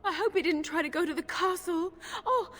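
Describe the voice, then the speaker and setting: A woman's voice: very high-pitched, brisk, fairly bright tone. A woman playing a frightened, tearful young village girl in a game, timid and pleading as she stammers. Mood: distraught, worried. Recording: long reverberant hall echo.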